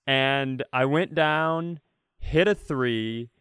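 Crisp, close-sounding man telling comic stories. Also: medium pitch, drawn-out words, fairly bright tone, dry recording. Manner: profane, competitive storyteller. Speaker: podcast co-host. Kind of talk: casual comic storytelling.

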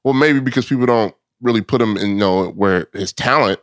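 A man's voice: fairly low pitch, fairly quick, no echo, clean studio sound. A man speaking as a podcast guest in casual sports talk, laid-back and slangy, often laughing between phrases.

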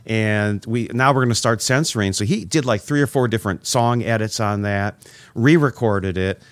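A man's voice: on the low side, moderate pace, no echo. A middle-aged man hosting a radio interview, chatting casually and rambling through nostalgic stories. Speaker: radio host.

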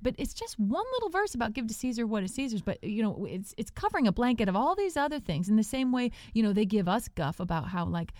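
A woman's voice: a little high, big pitch swings, fast, hardly any pauses, a little mellow, no echo, clean studio sound. A woman playing an earnest, easily indignant evangelical church podcast hostess, gushing and emphatic in a folksy way. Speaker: podcast co-host. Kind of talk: improvised satirical church podcast banter.

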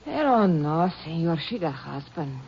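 Chatty low-voiced Mexican shopkeeper. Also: female voice, sing-song, a little slow, creaky, breathy edge, exaggerated voice. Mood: helpful. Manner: talkative, folksy broken English. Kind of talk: 1950s radio western acting. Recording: muffled 1950s radio recording.

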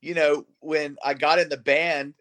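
A man's voice: on the high side, level tone, measured pace, a bit bright. A man around forty who sings in a rock band, chatting casually and informally as an interview guest. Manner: informal, swearing. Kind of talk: casual chat.

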